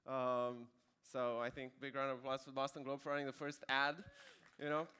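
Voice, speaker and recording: A man's voice: mid-range voice, completely flat delivery, a little fast, pushed and bright, clean tone. A man in his thirties with a Romanian accent, giving a conversational conference talk with dry asides. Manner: conversational with dry jokes and fillers. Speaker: conference speaker. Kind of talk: keynote presentation.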